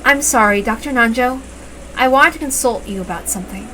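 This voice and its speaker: A woman's voice: a little high, brisk, pushed and bright, slight background noise, poor audio. A woman voicing a shrewd, suspicious mother who gives polite but pointed orders in a story reading. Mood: polite command. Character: shrewd, suspicious mother taking command of her family.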